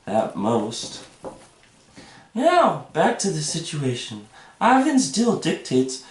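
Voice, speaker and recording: A man putting on a high falsetto to play a vain, sly female Russian spy in a comic monologue, with a mock Russian accent. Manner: falsetto, mock Russian accent, swooping sing-song pitch. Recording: echoey room, mic at a distance.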